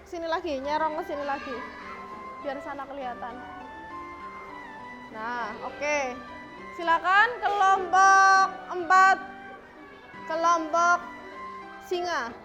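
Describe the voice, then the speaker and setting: Lady teacher w really high voice, prompting kids thru a task, music playing behind her. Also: fairly even intonation, slow pace, pauses often, lo-fi sound. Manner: prompting, often repeats check questions and instructions. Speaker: primary school teacher. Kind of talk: classroom instruction to pupils.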